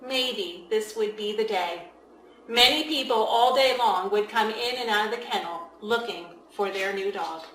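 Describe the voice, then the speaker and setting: A woman's voice: mid-range voice, fairly bright tone, slight background noise. Echoey storybook read-aloud by a woman. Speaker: children's author reading aloud. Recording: echoey room.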